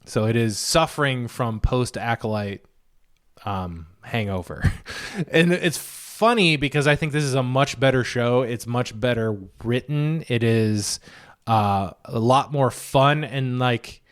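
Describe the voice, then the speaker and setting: A man's voice: medium pitch, measured pace, clean tone. Rambling, joking podcast co-host man. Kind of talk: casual chat.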